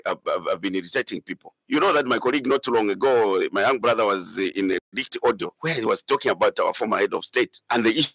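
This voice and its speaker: A Zambian man gives political remarks in a lowish, creaky voice. He speaks deliberately and hesitantly, pausing often, and the sound is dry. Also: expressive, fairly bright tone, no room sound, low-quality recording. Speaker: politician, member of parliament. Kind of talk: spoken interview remarks.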